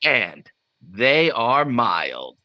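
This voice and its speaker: A man's voice: medium pitch, very animated, extremely slow, heavy background noise. A man reading commercial copy emphatically in polished 1950s radio announcer style. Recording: dull lo-fi sound, dry.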